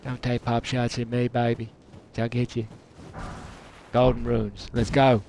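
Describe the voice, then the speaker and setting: Male game streamer, mid-pitched, unhurried, hamming up a folksy Southern US drawl with joking asides. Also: little pitch movement, creaky, darkish tone. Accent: put-on thick Southern US, Texan drawl. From United Kingdom. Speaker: game livestreamer. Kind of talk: playful rambling game stream commentary. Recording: noisy, game battle sounds behind.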